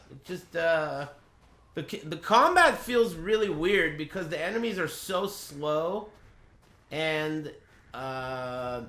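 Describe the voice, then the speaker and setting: A man's voice: on the high side, pushed and bright. A man who streams games, chatting casually and rambling through blunt, crude, joking impressions with plenty of swearing. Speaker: game streamer. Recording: roomy echo.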